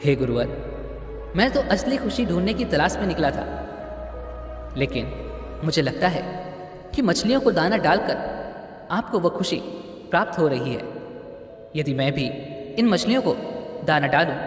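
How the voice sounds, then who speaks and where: A man's voice: fairly high pitch, very animated, a little slow, pauses often, roomy sound, in a large hall, faint music behind. A man voicing an earnest young man who pleads with a teacher about finding happiness. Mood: pleading. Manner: pleading, questioning.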